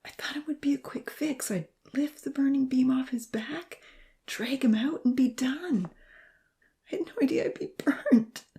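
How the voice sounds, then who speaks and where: Woman acting an anguished, breathy young man, high-strung. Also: warm tone. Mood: anguished whisper. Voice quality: breathy, choked voice breaking into sobs. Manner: halting, pleading, confessional. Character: anguished young male burn survivor, guilt-ridden and humble.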